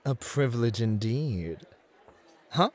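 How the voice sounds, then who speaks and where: A man's voice: mid-range voice, animated, slow. A man playing a cheeky, flirty, playful club host in an ASMR roleplay. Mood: cheeky, teasing. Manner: playful, bantering, polite to guests.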